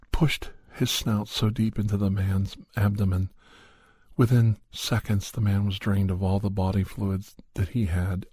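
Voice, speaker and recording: Guy doing asmr horror storytelling, low husky voice, super slow n hushed. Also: no echo. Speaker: podcast host and storyteller. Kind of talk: ASMR storytelling, first-person horror narration in character.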